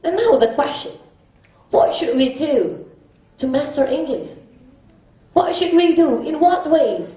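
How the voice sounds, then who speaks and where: High-voiced Indonesian teen girl, roomy hall. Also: sing-song, measured pace, pauses often. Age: teens. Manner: emphatic and declamatory in the speech, hesitant when answering. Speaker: speech contest contestant. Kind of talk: prepared speech, then answering a judge. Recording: distant roomy hall mic, dull sound.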